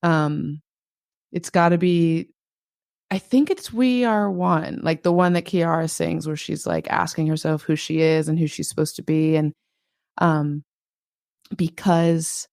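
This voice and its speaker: A woman with a low voice, a podcast guest talking casually and reflectively, thinking aloud with frequent filler words. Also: fairly even intonation, unhurried, vocal fry, darkish tone, dry recording, clean studio sound.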